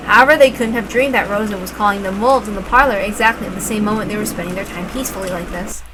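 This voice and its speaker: A woman's voice: medium pitch, quick pace. A woman narrating a story aloud as a reader, expressively and with informal asides. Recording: clear background noise, like rain.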